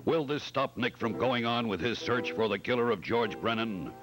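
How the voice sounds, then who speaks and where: A man's voice: medium pitch, expressive, moderate pace, music in the background. A man announcing in scripted old-time radio style, dramatic and emphatic, like a sponsor's salesman.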